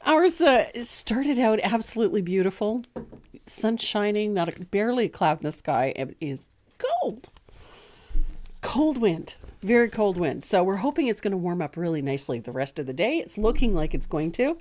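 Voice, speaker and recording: A woman in her sixties who chats through a painting lesson with a lively, swooping voice. She laughs often and pokes fun at herself in a relaxed, easygoing way. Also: medium pitch, moderate pace. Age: 60s. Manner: chatty, laughs often, self-deprecating. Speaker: decorative painting teacher. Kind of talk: casual livestream painting lesson.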